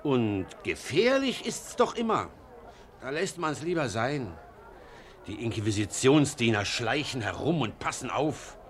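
A man's voice: mid-range voice, measured pace, a little hiss or hum. An actor playing a cautious, grumbling townsman in a theatrical 1940s German radio play. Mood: wary, aggrieved. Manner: theatrical, expressive, complaining. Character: cautious, grumbling Flemish townsman worried about the Inquisition. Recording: vintage 1949 radio drama recording.

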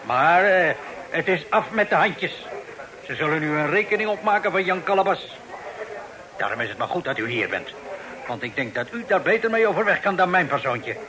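An actor playing a garrulous, wry sea captain who talks in folksy sayings, in radio drama style. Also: male voice, a little high, expressive, normal speed, crowd noise, lightly acted, rough recording. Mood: wry, talkative.

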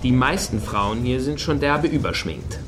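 A man's voice: medium pitch, expressive, measured pace, a crowd in the background, close to an everyday voice. A man acting in a satirical radio play as an easygoing, agreeable peacemaker on a night out, talking casually.

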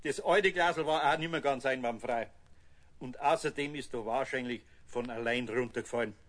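An actor playing a gruff but good-hearted older master craftsman with a Bavarian accent in a children's radio play, grumbling and scolding yet kindly. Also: medium pitch, moderate pace, fairly bright tone, natural voice.

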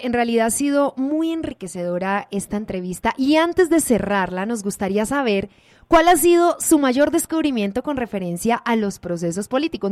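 A woman with a fairly high voice hosts a radio program, asking questions in a formal, measured way. Her voice is dry and close, with no room sound around it. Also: a little mellow, no room sound. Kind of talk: radio interview hosting.

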